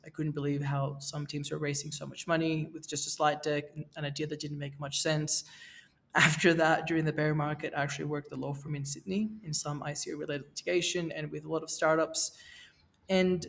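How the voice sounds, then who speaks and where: A man in his thirties from Venezuela, a podcast guest speaking in a relaxed way, with fillers and restarts. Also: a little high, gravelly. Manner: relaxed, with fillers and self-corrections. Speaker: guest, NFT project co-creator. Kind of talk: casual podcast interview. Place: Mexico, where he is now.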